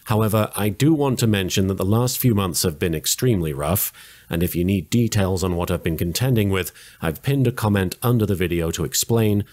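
A man's voice: low-pitched, brisk, darkish tone. A man giving scripted comedic recap narration as a host, in a dry, wordy, sardonic way. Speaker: host of anime recap reaction series.

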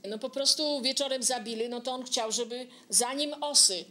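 Higher-voiced older woman telling an anecdote. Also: normal speed, bright, forceful tone. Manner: conversational, addresses listener, quotes dialogue. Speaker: local resident sharing memories.

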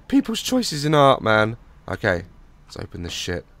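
A hoarse young man on a headset mic, nervously joking and swearing through a horror game as a gaming YouTuber. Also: mid-range voice, wildly swooping pitch, unhurried, fairly bright tone, no echo. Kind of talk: horror game let's play commentary.

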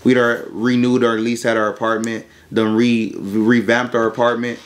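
A man's voice: mid-range voice, little pitch movement, moderate pace. A young man telling a personal story as an interview guest in a casual, colloquial, matter-of-fact way. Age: young adult.

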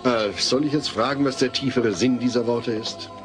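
A man's voice: mid-range voice, steady tone, normal speed, over music, lightly acted. A man playing a reasonable, exasperated family father in a comedy audio play, placating and sensible. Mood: dry.

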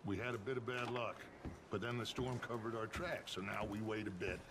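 An actor playing a charismatic, middle-aged American outlaw gang leader with a persuasive, oratorical manner in a video game. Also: male voice, on the low side, fairly even intonation, fairly quick, noisy background, lightly acted. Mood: reassuring, persuasive. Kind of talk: dramatic video game acting.

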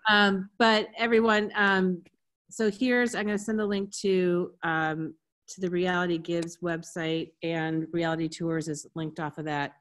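A woman's voice: on the low side, level tone, a little slow, a bit bright. A woman hosting an online conference session over a video call, talking casually and restarting her sentences. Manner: chatty, apologetic, restarts under tech trouble. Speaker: conference host.

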